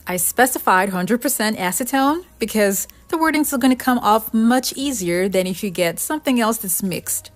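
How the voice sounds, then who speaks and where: A woman's voice: fairly high pitch, expressive, normal speed, few pauses. A woman giving an explanatory, step-by-step voice-over for a craft tutorial. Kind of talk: scripted DIY tutorial voice-over narration. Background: background music.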